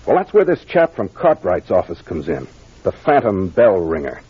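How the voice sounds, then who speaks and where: Confident detective captain, American, 1940s radio mystery style, animated pitch, muffled old recording. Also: male voice, husky, heavily acted. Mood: assured, explanatory. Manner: deliberate, authoritative, explanatory. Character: confident young male detective captain explaining a case. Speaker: actor playing the investigator.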